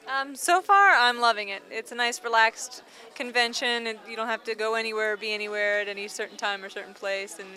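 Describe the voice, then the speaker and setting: Young woman at a con, upbeat and excited, bright sharp voice a bit high. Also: fairly quick. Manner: upbeat and enthusiastic. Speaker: convention attendee interviewed. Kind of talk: casual interview answers.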